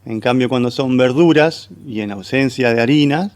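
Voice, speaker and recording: A man's voice: mid-range voice, moderate pace. A man with an Argentine accent, giving explanations as a guest in a conversational radio interview. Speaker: food segment guest giving cooking advice.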